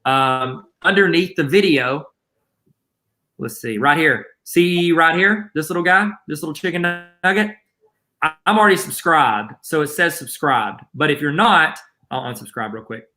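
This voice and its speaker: A man with a Southern accent walks teachers through the basics in a chatty, joking way. His voice sits a bit high and sounds bright and forward. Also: moderate pace. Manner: joking, chatty, self-deprecating asides. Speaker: guest presenter teaching teachers. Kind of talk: casual instructional webinar.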